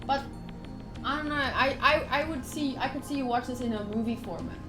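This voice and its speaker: A woman streaming a game, reading story text aloud in an expressive, chatty way with casual asides. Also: high-pitched, fairly quick. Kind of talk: live visual novel playthrough, reading aloud with casual asides. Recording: soft music behind voice. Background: game music.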